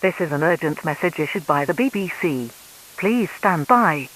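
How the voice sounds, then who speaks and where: Male announcer, fairly high pitch, for scripted urgent public warnings on an emergency broadcast. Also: expressive, normal speed, fairly bright tone, low-quality recording.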